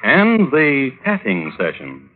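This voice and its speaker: A man hosting and narrating an old-time radio show in a wry, droll, deliberate storytelling manner. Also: mid-range voice, wildly swooping pitch. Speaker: host and narrator. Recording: tinny vintage radio recording.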